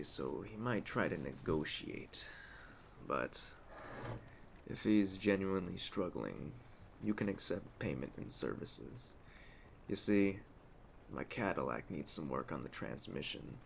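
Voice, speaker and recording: A man playing a calm, commanding aging mafia boss in an ASMR roleplay, measured, streetwise and gravelly. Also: sits low, exaggerated voice. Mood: businesslike, measured. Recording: clear ambient storm noise behind. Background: storm ambience.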